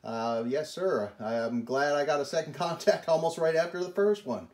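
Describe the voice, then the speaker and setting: A man's voice: a little high, moderate pace. Folksy man with radio noise. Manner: folksy, exclaiming, polite. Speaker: CB radio operator. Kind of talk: casual radio contact chat.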